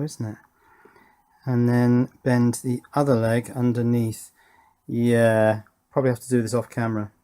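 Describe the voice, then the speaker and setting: A man's voice: medium pitch, a little mellow. A man giving a casual hands-on electronics demonstration, thinking aloud in a chatty way and often putting little questions to himself. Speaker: host of an electronics workshop video.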